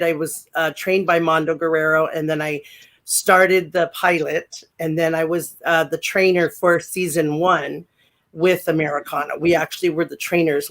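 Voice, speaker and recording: A woman in her sixties with a deep voice, chatting as a guest in an interview, rambling with many fillers and restarts. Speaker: guest, former pro wrestler. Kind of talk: casual interview chat.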